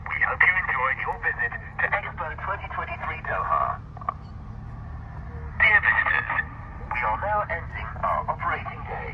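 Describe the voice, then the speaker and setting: A man giving a formal scripted public announcement over a loudspeaker. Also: fairly high pitch. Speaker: venue announcer. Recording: muffled loudspeaker with clear background noise.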